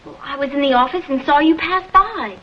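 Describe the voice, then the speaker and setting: A woman's voice: high-pitched, fairly quick, close to an everyday voice. A woman playing an earnest, trusting young assistant in a vintage TV crime drama, insistent and pleading in manner. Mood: worried, insistent. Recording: dull vintage TV soundtrack, loud music behind.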